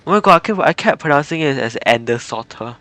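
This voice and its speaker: A man's voice: a little high, animated, very fast, bright, forceful tone, no echo. Rambling male game streamer. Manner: rambling, giggly. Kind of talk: casual live gameplay commentary. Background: game music.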